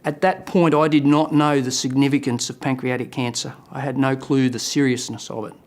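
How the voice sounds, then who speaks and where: A man's voice: mid-range voice, fairly even intonation, a little fast. A man in his late fifties telling his own story in a matter-of-fact way. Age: 50s. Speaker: patient sharing his story. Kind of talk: personal testimony.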